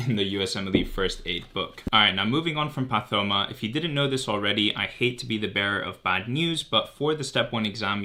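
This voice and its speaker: A man in his twenties presents in an informal vlog style. His voice sits fairly low but has a bright, crisp edge. He explains things in a chatty way, leaning on key words for emphasis. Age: 20s. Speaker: medical student presenting study resources. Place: bright home room, indoors.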